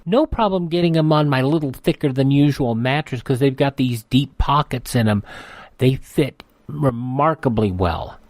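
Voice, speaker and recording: A male radio host with a mid-pitched voice. He delivers sponsor reads and show announcements conversationally, chatty and folksy, recorded very dry and close to studio sound. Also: normal speed, a little mellow, no room sound.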